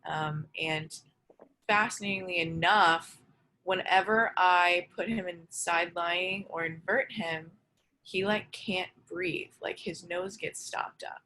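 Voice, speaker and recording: A woman working as a trainer, casually and haltingly describing a case on a group call with noisy audio. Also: fairly low pitch, steady tone, sharp tone. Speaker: trainer asking about a client.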